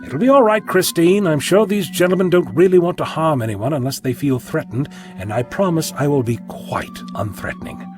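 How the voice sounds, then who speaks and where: A man's voice: fairly high pitch, moderate pace, few pauses, over music, close to an everyday voice. A man playing a flippant, articulate, unflappable eccentric time traveller in an audio drama. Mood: placating, reassuring.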